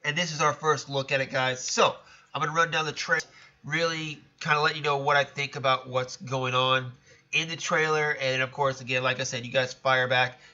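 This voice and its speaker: A man's voice: mid-range voice, fairly even intonation, normal speed, sharp tone, dull audio. A man hosting a casual solo commentary show, talking in a chatty, rambling way with a stuffed-up, nasal voice. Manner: chatty, rambling, self-correcting. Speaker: podcast host.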